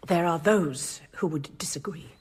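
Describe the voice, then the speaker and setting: An actress playing a devout, severe older nun in a radio drama, husky and breathy, pressing her points with pious disapproval. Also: low voice, measured pace, darkish tone, exaggerated voice. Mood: pious, accusing. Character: devout, severe, zealous elderly nun, stubbornly challenging authority.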